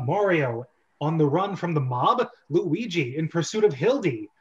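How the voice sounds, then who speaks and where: A man hosting a show, mixing theatrical scripted introductions with casual, self-deprecating chat. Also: a little high. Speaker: host. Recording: dull, lo-fi microphone sound.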